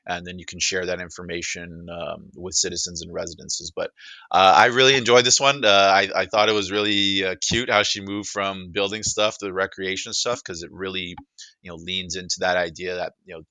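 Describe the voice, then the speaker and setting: Bright, low-pitched man giving a demo. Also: flat delivery, fairly quick, no room sound. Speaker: demo presenter for an AI voice agent. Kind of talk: casual product demo talk.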